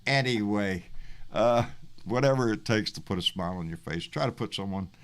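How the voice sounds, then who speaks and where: Brief: male host, loose folksy talk, a bit deep. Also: normal speed, slight background noise. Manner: folksy, rambling, repeats words. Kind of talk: casual live-stream sign-off.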